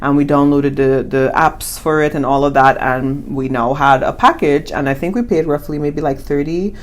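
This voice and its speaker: A young woman with a notably low speaking voice talks in a casual, animated way. She leans into her points with playful emphasis, co-hosting a relaxed chat on saving money. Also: normal speed. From born in the US, family from the Caribbean. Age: young adult. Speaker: co-host of a personal finance channel.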